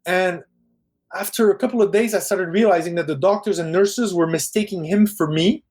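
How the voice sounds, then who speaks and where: French-accented man telling stories in an interview. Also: high voice. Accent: Parisian French. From Quebec, Canada. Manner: animated storyteller, quick bursts, frequent restarts. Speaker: film director guest. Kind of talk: casual interview chat.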